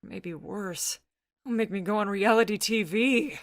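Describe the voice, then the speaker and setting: A woman's voice: mid-range voice, unhurried, dry recording, pitched above the performer's own voice, close to an everyday voice. Woman acting a sarcastic, anxious, doubting youngster. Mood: sceptical, sarcastic. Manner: sceptical, whiny, earnest.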